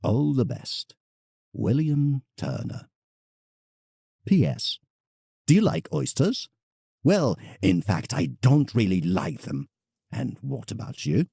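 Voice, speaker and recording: An actor playing a conceited, eccentric older painter in an audio drama, speaking in a husky, gravelly voice with rambling asides. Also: male voice, mid-range voice, big pitch swings, takes it slowly, pauses often, dark tone, no echo, clean studio sound, exaggerated voice. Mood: chatty. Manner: pompous, rambling, hesitant asides. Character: conceited, eccentric older male English painter writing letters. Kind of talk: audio drama letter monologue.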